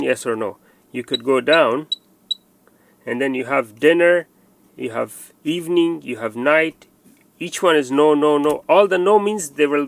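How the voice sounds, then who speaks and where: A man with a mid-pitched, gravelly voice talks through a hardware installation. He explains each step patiently, circling back and repeating himself with small fillers as he works on the equipment. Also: dry recording. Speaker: tutorial presenter. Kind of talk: hands-on product installation tutorial.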